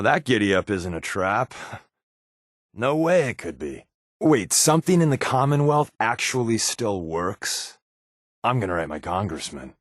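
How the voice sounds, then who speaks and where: An American actor playing a sardonic, wisecracking secret agent companion in a video game, with a wry, offhand delivery. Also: male voice, on the low side, a bit bright, dry recording, studio quality, exaggerated voice. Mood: sarcastic.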